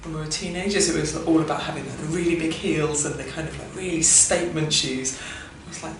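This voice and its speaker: A woman's voice: low-pitched, steady tone, fast. A woman in her thirties, talking in a chatty, reflective way and laughing at herself. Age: 30s. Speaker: interviewee. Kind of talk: personal documentary interview. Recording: echoey room, distant mic, noise.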